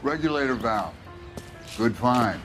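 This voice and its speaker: Actor as a wry, animated arson investigator. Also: male voice, medium pitch, measured pace, music in the background, lightly acted. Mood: matter-of-fact. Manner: wry, matter-of-fact. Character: brisk, wry seasoned male arson investigator. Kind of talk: naturalistic video game acting.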